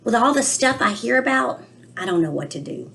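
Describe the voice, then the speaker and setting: A woman's voice: a little high, very animated, a little fast, gravelly, fairly bright tone, voice pushed up, exaggerated voice. Anxious, literal-minded little boy played by a woman, fretting in singsong storybook rhyme. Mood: worried. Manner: worried, singsong rhyming delivery. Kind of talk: expressive storybook reading.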